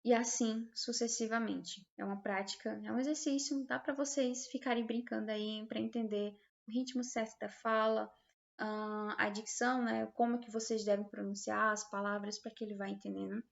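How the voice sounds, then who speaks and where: High-voiced Brazilian woman, muffled recording. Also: steady tone, normal speed, vocal fry. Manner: hesitant, explanatory, checks understanding with short tags. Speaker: instructor. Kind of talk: informal software tutorial, screen demonstration.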